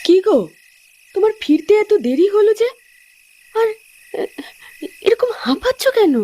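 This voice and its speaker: A concerned village wife acted by a woman speaks in a high, soft-toned voice. She questions gently, in horror-cartoon style, with night insects behind her. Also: animated, a little slow, a little hiss or hum.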